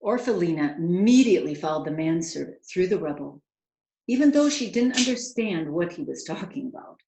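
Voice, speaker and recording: A woman's voice: on the low side, lively intonation, brisk, warm tone. Echoey woman reading a storybook aloud. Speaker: children's author reading aloud. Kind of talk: expressive picture-book read-aloud.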